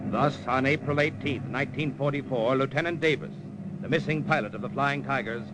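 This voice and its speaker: A man's voice: mid-range voice, brisk, background noise. An American man announcing in the scripted style of a 1950s radio adventure show.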